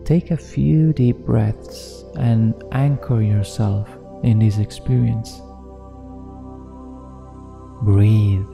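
A man narrating a guided meditation in a soothing, measured manner with deliberate pauses. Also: on the low side, steady tone, gravelly, dark tone. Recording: long reverb, New-age music behind. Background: New-age music.

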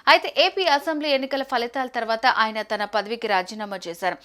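A woman's voice: fairly high pitch, brisk, fairly bright tone, clear, resonant tone. A woman news presenter reading a scripted report in a formal news narration style.

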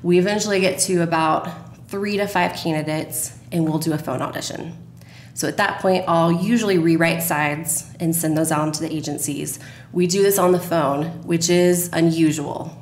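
A woman's voice: lowish voice, fairly even intonation, normal speed, darkish tone. A woman in her thirties explaining her production work as a guest in a podcast conversation. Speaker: radio drama producer, interview guest. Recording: roomy, echoey, faint background noise.

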